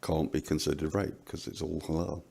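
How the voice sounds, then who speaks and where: Very deep, gravelly man, halting. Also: level tone, dark tone. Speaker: panelist on a commentary show. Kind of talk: casual discussion commentary.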